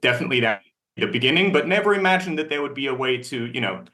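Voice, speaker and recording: Male panelist, 30s, bright clear voice, earnest and keen. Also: medium pitch, expressive, fast talker. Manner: earnest, enthusiastic storytelling. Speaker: panelist, bathhouse company leader. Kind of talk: panel discussion answer.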